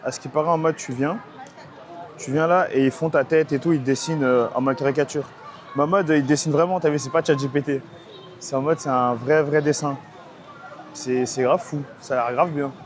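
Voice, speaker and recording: Excitable young French man vlogging. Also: fairly high pitch, fairly quick, a faint crowd. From France. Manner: excitable, slangy, addresses viewers directly. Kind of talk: casual travel vlog talk. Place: outdoors on busy city streets. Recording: music mixed under the voice.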